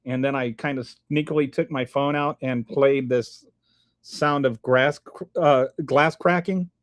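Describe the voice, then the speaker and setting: A man's voice: mid-range voice, fairly even intonation, a little slow, no room sound. A middle-aged man, casually chatting on a livestream and reading viewer comments, rambling with many restarts and fillers. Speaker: livestream host.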